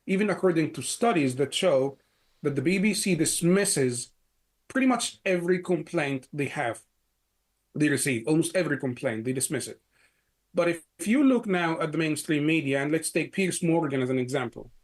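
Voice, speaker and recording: Egyptian-born man, fairly high voice. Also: expressive, moderate pace. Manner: talks in long runs with many "you know" fillers and restarts. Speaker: guest, security analyst. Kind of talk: interview answers.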